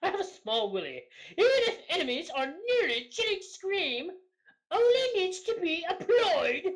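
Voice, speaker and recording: High-voiced giggly woman reading aloud. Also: low-quality recording. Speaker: co-host reading forum posts aloud. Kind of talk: comedic read-aloud of gamer forum posts.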